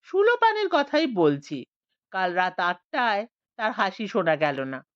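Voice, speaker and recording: A woman's voice: medium pitch, very animated, a little slow, dull audio. A woman voicing an old man over seventy, a fretful, superstitious villager in an audio story. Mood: uneasy. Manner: worried, superstitious.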